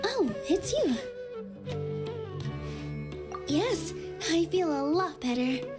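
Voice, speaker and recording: Casting: sweet, earnest young female game heroine, high voice, sincere, faint music underneath. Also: very animated, a little slow, full character voice. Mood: grateful. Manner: soft-hearted, sincere, reflective. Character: gentle, earnest young woman dancer, hopeful and modest. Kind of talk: video game voice acting.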